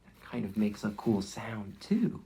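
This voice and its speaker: Slow-paced man leading children's storytime. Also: fairly low pitch, big pitch swings, gravelly, noisy background. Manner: simple guiding phrases and questions addressed to young children. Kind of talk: interactive children's read-along with movement prompts.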